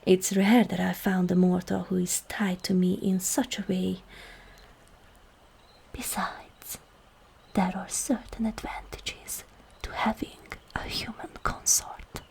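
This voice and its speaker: A woman playing a seductive, regal demon queen in an ASMR roleplay, calm and commanding, with slow, formal speech that often drops into breathy whispers. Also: mid-range voice. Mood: persuasive, regal. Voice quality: breathy, husky.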